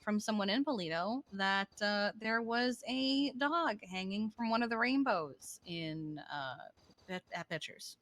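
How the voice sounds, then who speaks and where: Bright-voiced woman, matter-of-fact, acted in game roleplay. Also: medium pitch, animated, a little slow, no room sound. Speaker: role-player in a live-streamed online game. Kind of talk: improvised in-character conversation.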